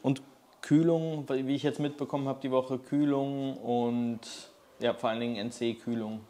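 Mid-pitched man as co-host; reads the questions aloud and tosses in quick agreeing words. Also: level tone, mellow. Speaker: co-host reading out viewer questions. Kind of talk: casual Q&A chat.

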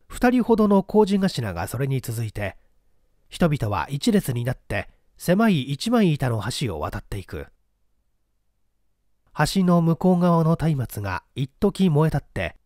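A man's voice: mid-range voice, a little mellow, no room sound, studio quality. A man reading a literary story as a dramatic scripted narration. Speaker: audiobook narrator, stage performer.

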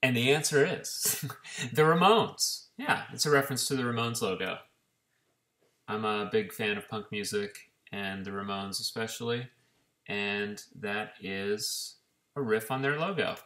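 A man's voice: fairly low pitch, unhurried, a bit bright. A man in his thirties chatting casually to a laptop webcam from a living room, talking as an author. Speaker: children's author and illustrator. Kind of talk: casual chat to camera. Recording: echoey room.

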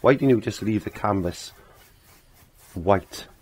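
A middle-aged man with a low, husky, croaky voice talks through a painting demonstration. He rambles casually, often repeating short phrases as if talking to himself while he works. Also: slow, a little mellow. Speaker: painting instructor. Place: indoor home art studio.

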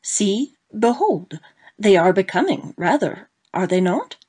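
A woman playing a gallant young gentleman who talks in florid, exclaiming phrases. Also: medium pitch, sing-song, measured pace, husky. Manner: florid, exclaiming, stammering in shock.

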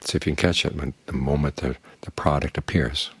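An older man, a Buddhist monk, giving a calm, plain-spoken evening dharma talk that leans on homely images. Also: a bass voice, brisk, slightly rough, no room sound.

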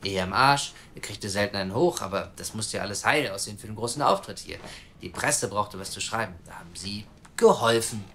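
Brash, cynical reporter, radio drama; rapid delivery, crude and insistent, faint noise behind. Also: male voice, lowish voice, fairly bright tone. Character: brash, cynical, pushy male reporter pressing for answers. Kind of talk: naturalistic German radio drama acting.

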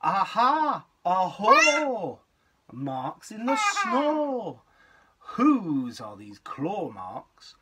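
A man voicing a curious, bold young girl monster from a storybook, with dramatic drawn-out exclamations. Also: sits high, wildly swooping pitch, bright, forceful tone, slight background noise, voice pushed up, full character voice. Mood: excited, curious.

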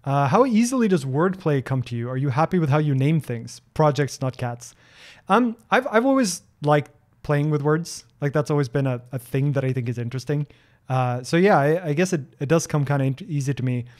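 Chatty Norwegian man, higher-pitched. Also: lively intonation, brisk. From Norway. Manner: chatty, many ums and restarts, reads questions aloud. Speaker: streamer answering viewer questions. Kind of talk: casual livestream Q&A. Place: home office. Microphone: desk broadcast mic close to face.

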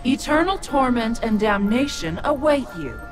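A woman's voice: fairly high pitch, sing-song, moderate pace, over loud music. A woman playing a cruel, gloating evil witch demon in a video game, menacing and haughty. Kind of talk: video game character acting.

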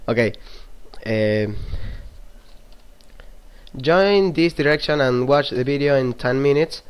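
A man's voice: medium pitch, slow pace, clean tone. Brief: young Argentine guy, webcam vlogger, crude self-mocking banter, desk mic. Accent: Argentine Spanish. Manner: swears freely, self-mocking, jokey. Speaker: YouTuber. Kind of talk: casual webcam vlog commentary. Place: small room indoors at a desk. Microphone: computer webcam mic.

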